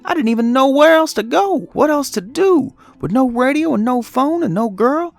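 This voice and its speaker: A man's voice: extremely high pitch, lively intonation, normal speed, creaky, no room sound, voice pushed up, exaggerated voice. A man voicing a guilt-ridden trucker who stammers and pleads through a horror story confession. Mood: agitated, haunted. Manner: stammering, repeating words, pleading. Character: distraught guilt-ridden male trucker confessing a fatal accident. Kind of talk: dramatic horror story monologue.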